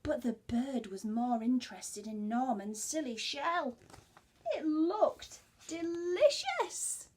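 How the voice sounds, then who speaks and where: Very animated, high-voiced woman storyteller. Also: takes it slowly, a little hiss or hum. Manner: expressive, dropping into hushed asides. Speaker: teacher reading a storybook to young children. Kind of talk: animated children's storytelling with chatty asides to listeners.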